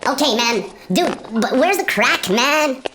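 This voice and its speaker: A woman playing a dazed, childlike, drug-addled spaceship crewman in a comic radio play, with halting stoner talk. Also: high-pitched, expressive, background noise. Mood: naively excited.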